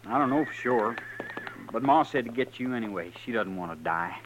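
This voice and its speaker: A man's voice: mid-range voice, expressive, a little fast, highs cut off, natural voice. Guy doing a young country fella, folksy n earnest, mid voice, bit of old radio noise. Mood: worried. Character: plain, earnest young rural frontier son. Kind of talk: 1950s western radio drama acting. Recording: noisy old radio recording.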